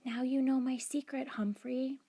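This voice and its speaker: A woman's voice: high voice, fairly even intonation, unhurried, husky, pitched above the performer's own voice, exaggerated voice. Woman as a shy, whispery young schoolgirl. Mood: confiding, worried. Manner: soft, confiding, partly whispered. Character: shy, earnest young schoolgirl, gentle and confiding. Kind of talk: children's storybook character voice.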